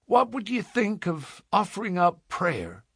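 A man voicing a weary, hardened adventurer who speaks gruffly in plain, rustic frontier speech, as in a storybook. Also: lively intonation, a little slow, fairly bright tone, no room sound, studio quality, heavily acted. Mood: diffident, earnest. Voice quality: deep, harsh voice.

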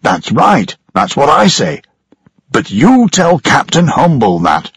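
A man's voice: medium pitch, wildly swooping pitch, slow, gravelly, fairly bright tone, husky, dry recording, studio quality, voice pushed up, full character voice. A man voicing a tired, frightened ship's engineer who complains and explains in exasperation. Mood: complaining.

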